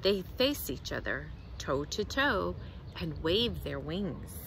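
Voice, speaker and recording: A middle-aged woman reads a picture book aloud to children outdoors, with expressive, deliberate phrasing. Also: on the low side, slight background noise, rough recording. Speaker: children's librarian, storytime reader. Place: outdoors in a leafy garden.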